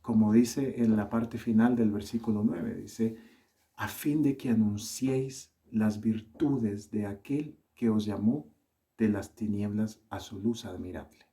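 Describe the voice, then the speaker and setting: A man from El Salvador with a Salvadoran accent softened by Colombian touches, preaching a sermon in an earnest, measured way. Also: on the low side.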